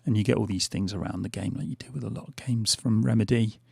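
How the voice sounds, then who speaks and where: A middle-aged man talks over his gameplay in a loose, hesitant ramble full of fillers. His voice is gravelly and fraying because he keeps losing it. The sound is dry and close, with no room around it. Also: lowish voice, fast talker, darkish tone, no echo. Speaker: gaming channel host. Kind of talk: casual gameplay commentary. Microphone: headphones on, desk mic.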